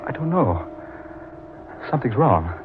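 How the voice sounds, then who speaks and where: A bewildered husband back from the dead in a vintage radio horror play. His delivery is melodramatic with wide swings in pitch, on a thin, band-limited recording with faint noise. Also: very animated, unhurried. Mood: dazed. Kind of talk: old-time radio horror drama acting. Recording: tinny, noisy old radio recording.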